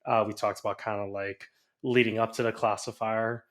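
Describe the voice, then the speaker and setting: A young man from Hawaii co-hosting a podcast, easygoing and quick to laugh. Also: fairly low pitch, flat delivery, a bit bright. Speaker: podcast co-host. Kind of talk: casual chat.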